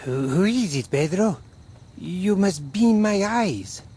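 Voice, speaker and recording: A man's voice: high-pitched, lively intonation, takes it slowly, darkish tone, slightly rough, heavily acted. An actor playing an inquisitive blind villager in a radio drama, hesitant and stammering as he questions. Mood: anxious, curious.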